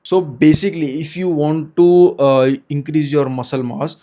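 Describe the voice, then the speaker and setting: Young indian guy talking to his camera in a small room, kinda high voice but mellow, keeps stopping and restarting. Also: fairly even intonation, normal speed. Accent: Indian English. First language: Hindi. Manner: hesitant, frequent restarts and self-corrections. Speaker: fitness vlogger. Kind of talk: unscripted talk to camera.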